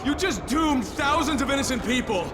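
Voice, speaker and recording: American operative, extremely raised voice, heated, straining, foul-mouthed, game cutscene. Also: male voice, very high-pitched, animated, moderate pace, music in the background. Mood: furious. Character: hot-headed, foul-mouthed American male operative held captive. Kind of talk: video game cutscene acting.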